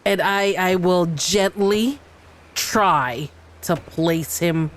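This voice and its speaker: A woman's voice: fairly low pitch, extremely slow. A woman playing a tabletop game, casually improvising what her character does and says. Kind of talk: casual chat, improvised role-play.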